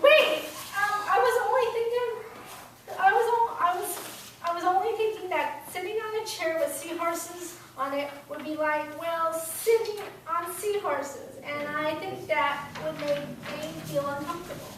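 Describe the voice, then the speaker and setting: A woman's voice: very high-pitched, a little slow, fairly bright tone. A woman reading the part of a tactful, diplomatic wife in a play, in an echoey room. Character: tactful wife siding gently with her husband. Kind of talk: script read aloud at a play reading. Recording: echoey room, far from mic, faint noise.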